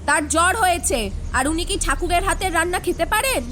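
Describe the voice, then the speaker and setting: An actress playing a domineering, sharp-tongued elder sister-in-law in an audio drama, scolding and quarrelsome. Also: female voice, very high-pitched, expressive, a little fast, a little hiss or hum, heavily acted. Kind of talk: Bengali audio drama acting.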